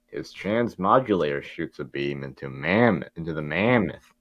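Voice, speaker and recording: Male table-read narrator: low voice, informal, stumbling script reading, dry recording. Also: animated, moderate pace, no room sound, lo-fi sound. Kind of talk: reading script stage directions aloud.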